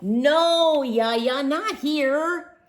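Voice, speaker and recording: A woman's voice: sits high, drawn-out words, voice pushed up. A woman voicing an earnest, easily embarrassed young schoolgirl in a storybook, with a pleading manner. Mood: pleading, anxious.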